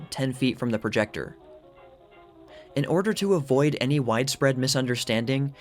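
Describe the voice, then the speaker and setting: Man reading formal narration over music. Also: medium pitch. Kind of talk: scripted narration, reading a vintage news article aloud.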